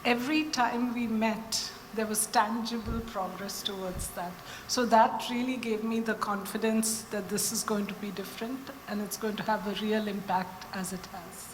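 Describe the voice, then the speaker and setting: A woman's voice: mid-range voice, fairly even intonation. Woman panelist speaking in an echoey hall. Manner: measured, listing points in order. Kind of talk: panel discussion remarks. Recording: echoey hall with faint noise.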